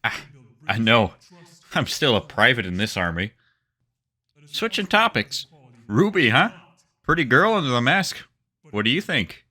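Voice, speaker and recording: Man playing a cocky, sharp-voiced army private. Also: medium pitch, sing-song, a little slow, pauses often, heavily acted. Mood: griping, then leering. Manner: cocky, slangy. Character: crude, griping young army private on a stakeout. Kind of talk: bantering sci-fi audio drama dialogue.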